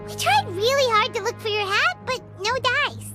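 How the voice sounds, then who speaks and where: A woman voicing a tiny, chirpy female companion who talks in a chatty, blunt, excitable way in a video game. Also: very high-pitched, moderate pace. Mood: curious. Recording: game audio over loud music.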